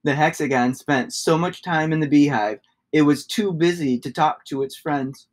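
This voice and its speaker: A man, giving an expressive read-aloud of a children's story. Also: on the high side, moderate pace. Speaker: storybook reader.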